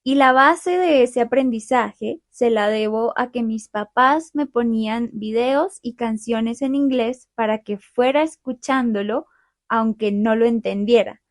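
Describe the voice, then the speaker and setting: Young Colombian woman host, bright-ish voice, dry close mic, calm clear delivery. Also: fairly high pitch, unhurried, dry recording. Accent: Colombian, Bogotá. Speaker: podcast host. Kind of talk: friendly podcast chat for Spanish learners.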